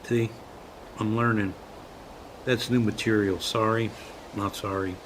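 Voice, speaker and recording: An actor playing a stubborn, wounded, middle-aged joking dad in a naturalistic comedic radio play, plaintive and insistent. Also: male voice, lowish voice, level tone, unhurried, noisy background, lightly acted. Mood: playful, softening.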